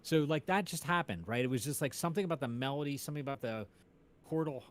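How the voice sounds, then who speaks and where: A man co-hosting a casual chat, speaking in a loose, halting, informal way. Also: mid-range voice, lively intonation. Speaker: co-host.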